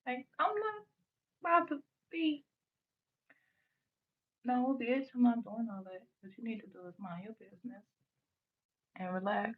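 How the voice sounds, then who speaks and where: A woman's voice: fairly high pitch, unhurried, pauses often, narrow, tinny audio. A woman in her early thirties from the US Midwest, with a light voice, hosting a late-night livestream in a rambling, blunt and profane way. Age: 30s. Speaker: livestream host. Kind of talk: late-night casual stream rant.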